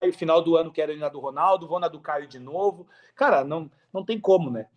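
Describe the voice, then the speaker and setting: A Brazilian man talking casually and enthusiastically as a podcast guest on a video call, with slangy, high-energy delivery. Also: fast talker, a little mellow. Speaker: podcast guest, affiliate marketer. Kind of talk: casual interview chat.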